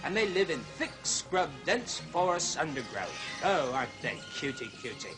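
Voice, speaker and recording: A man's voice: on the high side, moderate pace, music in the background. A man playing a fussy, chattering comic zoo show host. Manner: excitable, chattering, fussy showman.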